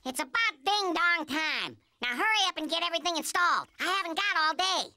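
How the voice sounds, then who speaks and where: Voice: extremely high pitch, lively intonation, fairly quick, slight background noise, exaggerated voice. An actor voicing a brash, mischievous cartoon bird with a gushing, sing-song, bossy manner. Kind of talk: animated cartoon voice acting. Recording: loud cartoon music behind the voice.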